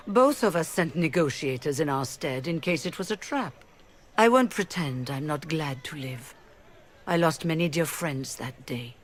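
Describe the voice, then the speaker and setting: A poised mage leader. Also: female voice, low voice. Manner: calm, measured, diplomatic.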